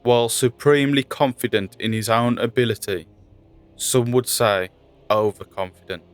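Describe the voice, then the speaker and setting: A man's voice: mid-range voice, normal speed. A man hosting and narrating a scripted video. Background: music.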